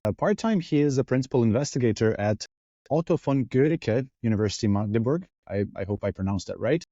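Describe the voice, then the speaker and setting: A man's voice: medium pitch, lively intonation, brisk, mellow, no echo. A man hosting an interview show, friendly and enthusiastic as he asks his guest questions. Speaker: podcast host. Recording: dull lo-fi audio.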